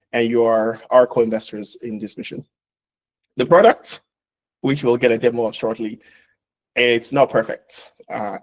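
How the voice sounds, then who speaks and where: A founder addresses his audience in an earnest, halting way, leaning on fillers as he goes. His voice sits at an ordinary middle pitch for a man. He sounds like he is speaking to a live event crowd and an online audience. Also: low-quality recording. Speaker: founder and CEO, panel moderator. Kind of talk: launch speech and moderating.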